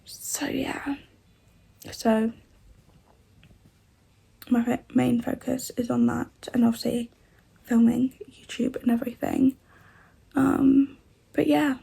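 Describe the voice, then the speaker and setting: A twenty-year-old woman from the UK, chatting casually in a vlog while she has a cold. Her voice sounds rough and odd, and she rambles with lots of fillers. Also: on the high side, little pitch movement, takes it slowly, long pauses, mellow, poor audio. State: congested with a cold. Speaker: vlogger.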